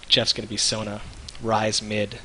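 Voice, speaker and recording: Man, casual stream chat, bright tense tone, slightly low voice, faint hiss. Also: completely flat delivery, normal speed, low-quality recording. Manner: rambling, loose, jokey, hesitant. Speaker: co-host of a live stream.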